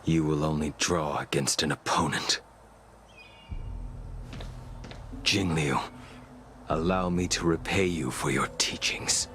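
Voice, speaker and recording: Deep, slow, tormented immortal swordsman. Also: pushed and bright, slight background noise, exaggerated voice. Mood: grim, insistent. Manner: brooding, deliberate, heavy delivery. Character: grim, tormented immortal swordsman, bitter and haunted. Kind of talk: dramatic video game voice acting.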